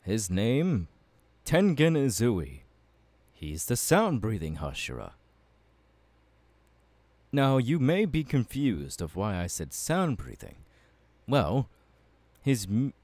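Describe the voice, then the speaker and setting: A man playing a confident, fiery anime swordsman mentor in an ASMR audio roleplay, talking to the listener with measured, dramatic pauses. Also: medium pitch, darkish tone, no echo, full character voice. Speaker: voice actor.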